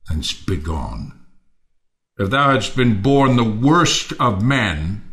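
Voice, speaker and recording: Ruined misanthrope nobleman, scornful, declamatory, widely swinging pitch, Shakespearean audio drama. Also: very animated, slow, fairly bright tone, exaggerated voice. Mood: contemptuous. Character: bitter, ruined Athenian nobleman turned misanthrope. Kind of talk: Shakespearean audio play acting.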